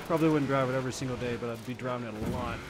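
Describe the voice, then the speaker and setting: A man's voice: mid-range voice, fairly even intonation, racing. A man in his forties from Kentucky, chatting casually and teasing viewers while he plays a racing game on a live stream. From Kentucky, USA. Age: 40s. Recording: game car noise behind voice. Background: racing game engine sounds.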